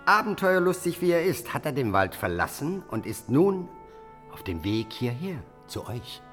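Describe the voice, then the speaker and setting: An actor playing a scheming, hate-consumed illegitimate half-brother of a king in a fantasy radio drama, flattering and insinuating. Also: fairly high pitch, soft background music. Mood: persuasive scheming. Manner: flattering, insinuating, deliberate emphasis.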